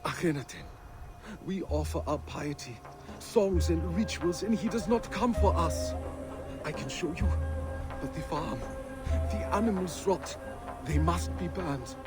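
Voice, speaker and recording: High-pitched pious cultist. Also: male voice, expressive, unhurried, long pauses, over music. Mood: earnest, wary. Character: fearful, pious male cultist of a heretic pharaoh. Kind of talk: dramatic scripted game dialogue.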